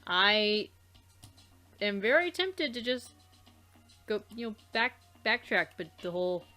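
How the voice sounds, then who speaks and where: A woman's voice: mid-range voice, expressive, slow pace, sharp tone. A woman doing casual game commentary with a co-host, bantering in an exasperated way and swearing freely. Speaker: let's player. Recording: game music behind the voice. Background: game music.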